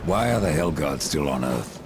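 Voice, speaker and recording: An actor playing a grim, sardonic supernatural rider of death in a video game, terse and menacing, with a gravelly voice. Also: male voice, very deep voice, lively intonation, normal speed, heavy background noise. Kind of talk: dramatic video game acting. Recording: loud music under the voice.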